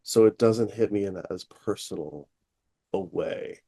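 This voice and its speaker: A man who works as a therapist, co-hosting a podcast and speaking candidly and reflectively in a relaxed chat. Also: unhurried, breathy edge. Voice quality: relatively deep voice. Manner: reflective, candid, frequent fillers. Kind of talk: casual chat.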